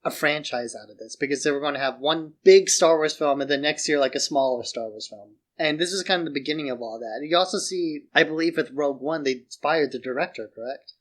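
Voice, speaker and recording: Slightly higher-voiced man, casual podcast co-host. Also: fairly quick, few pauses. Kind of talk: casual film discussion.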